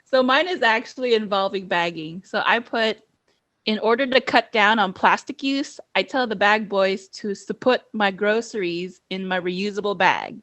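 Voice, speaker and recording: A woman co-host reading out her own sentence aloud in an animated, laughing way. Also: medium pitch, moderate pace. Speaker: podcast co-host. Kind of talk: casual podcast chat.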